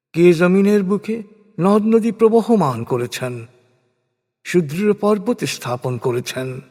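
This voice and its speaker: A man reading scripted religious narration in a solemn, measured, declamatory way, with deliberate pauses. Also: fairly high pitch, darkish tone. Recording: long reverberant hall echo.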